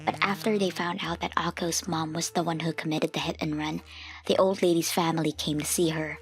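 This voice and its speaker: A woman's voice: low voice, fairly even intonation, a little fast. A woman narrating a scripted first-person story as a grown woman soberly looking back on a family memory. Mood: sober, reflective. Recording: music under the voice.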